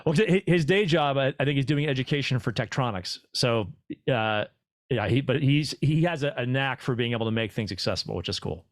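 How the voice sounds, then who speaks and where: A man's voice: mid-range voice, expressive, a little fast, no echo, studio quality. A man who teaches classes, chatting on a video call, stumbling over words and laughing easily. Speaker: club instructor. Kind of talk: casual group video-call discussion.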